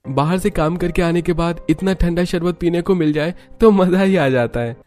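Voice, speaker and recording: Cartoon villager: tired, friendly working man, pitched up, acted by a man. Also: normal speed, darkish tone, soft background music, close to an everyday voice. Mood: weary relief.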